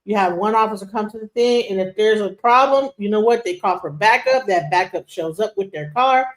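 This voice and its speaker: A woman's voice: mid-range voice, fairly quick. A woman co-hosting a live stream, emphatically explaining and commenting on a video. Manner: emphatic, explaining, counts things out. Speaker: stream co-host.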